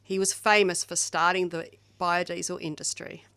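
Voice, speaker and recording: A woman's voice: on the low side, little pitch movement, moderate pace, fairly bright tone, dry recording. An Australian woman reading out written memorial tributes as part of a podcast. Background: faint music.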